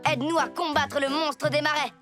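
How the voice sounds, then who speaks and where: A woman voicing an eager, earnest young boy rabbit in a preschool cartoon, with childlike, expressive delivery. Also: sits high, brisk, music in the background, lightly acted.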